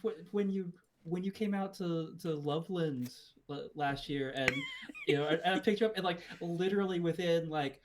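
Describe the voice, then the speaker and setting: A man's voice: fairly high pitch, normal speed, noisy background. A young man co-hosting a casual podcast, teasing his co-host with dry jokes. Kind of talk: casual chat.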